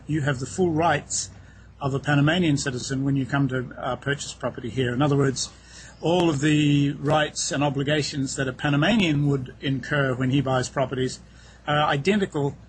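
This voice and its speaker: A man's voice: fairly high pitch, slight background noise. Chatty New Zealand man on a dock. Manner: chatty, laughs easily, repeats words as he starts. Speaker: guest, real estate agent. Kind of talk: phone interview, relaxed explaining. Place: outdoors on a waterfront dock. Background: boats passing.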